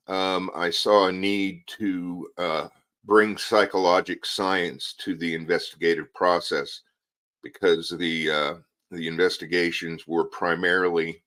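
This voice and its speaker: A man in his sixties, a retired psychologist guest on a live talk show, speaking haltingly as he searches for words. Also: deep voice, a bit bright.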